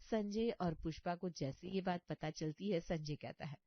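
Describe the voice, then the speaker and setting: A woman's voice: fairly low pitch, moderate pace, dry recording, highs cut off. A woman reading a scripted story as its narrator.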